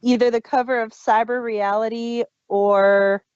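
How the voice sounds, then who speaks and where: A woman's voice: a little high, fairly even intonation, a little slow, a little mellow, clear, resonant tone, dry recording, dull audio. A woman co-hosting a podcast, talking casually as a fan in discussion. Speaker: podcast co-host.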